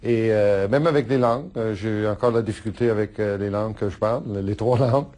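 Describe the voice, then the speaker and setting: A middle-aged man whose first language is Italian, answering interview questions in a halting way with many fillers and restarts, laughing often. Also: fairly low pitch, dark tone. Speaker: politician interviewee.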